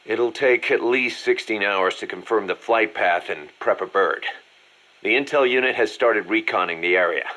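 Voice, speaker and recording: An actor playing a shrewd, pragmatic mercenary second-in-command in a video game, talking in a businesslike, calculating way. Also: male voice, lowish voice, moderate pace, sharp tone. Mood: urgent, resigned. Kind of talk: dramatic video game dialogue.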